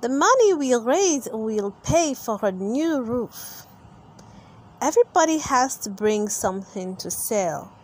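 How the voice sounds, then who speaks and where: Casting: keen storybook gazelle teacher woman performer, high and slow, announcing to all. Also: very animated, heavily acted. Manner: announcing, encouraging. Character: Madame Gazelle, keen female gazelle schoolteacher announcing. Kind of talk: children's storybook read-aloud.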